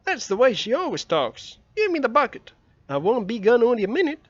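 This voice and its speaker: A man's voice: very high voice, wildly swooping pitch, fairly quick, no room sound, pitched above the performer's own voice, exaggerated voice. A man voicing a scheming young boy who eagerly coaxes and bargains, in a dull, dry recording. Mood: coaxing.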